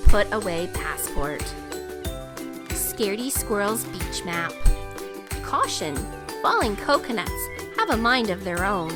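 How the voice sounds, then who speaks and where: A woman reading aloud to young children in an expressive, emphatic storytelling style. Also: mid-range voice, slow. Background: children's music.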